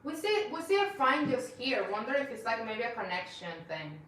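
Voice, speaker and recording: A woman's voice: fairly high pitch, sing-song, normal speed, fairly bright tone. A woman co-hosting a live stream, reading out viewer comments in a friendly, hesitant way with many ums. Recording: echoey room, far from mic.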